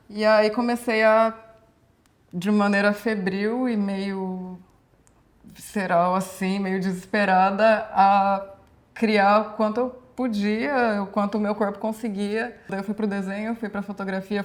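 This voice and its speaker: Young woman from Brazil, performance artist; calm, level, introspective interview voice. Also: mid-range voice. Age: 20s. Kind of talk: reflective documentary interview.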